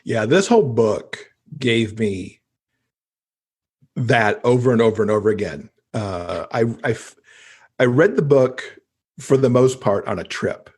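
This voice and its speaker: A man's voice: mid-range voice, animated, unhurried, darkish tone, slightly rough. A man from the United States, a podcast guest telling stories casually and enthusiastically. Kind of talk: casual conversational storytelling.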